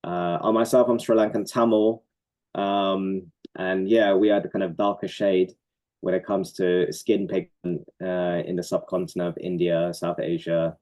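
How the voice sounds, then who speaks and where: A man with a low, warm voice speaks in a reflective, earnest way, answering interview questions about his life as a poet and organizer. He pauses on fillers now and then and laughs softly. His tone stays calm and sincere. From Norway.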